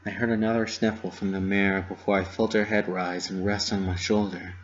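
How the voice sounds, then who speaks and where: A man's voice: deep voice, flat delivery, a little fast, highs cut off, slight background noise. A man giving a dramatic reading of a story, narrating in the first person. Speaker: amateur fan fiction reader.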